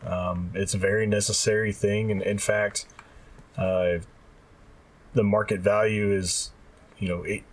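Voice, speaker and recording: A man talking casually as a podcast co-host, sounding a little distant from the microphone. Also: on the low side.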